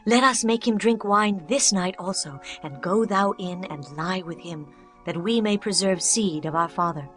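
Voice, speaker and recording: A woman's voice: medium pitch, normal speed, fairly bright tone, soft background music, lightly acted. A woman playing a scheming elder daughter who persuades in archaic phrasing, in a dramatized scripture audiobook. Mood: conspiratorial. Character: scheming elder daughter urging her sister in secret.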